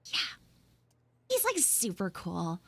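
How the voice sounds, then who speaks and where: A woman voicing a flustered young tsundere schoolgirl in a live audio roleplay, halting, awkward and defensive. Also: mid-range voice, huge pitch swings, creaky, fairly bright tone, breathy edge, no echo, pitched below the performer's own voice, heavily acted. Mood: flustered, chatty. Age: young, school student. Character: flustered tsundere frog girl, failing art student.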